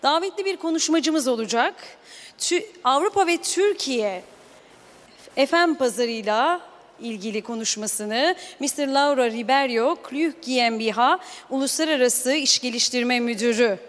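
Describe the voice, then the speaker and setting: A woman's voice: high voice, normal speed. A woman moderating a conference, formally introducing the next speaker in two languages. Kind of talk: formal event announcing. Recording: reverberant hall.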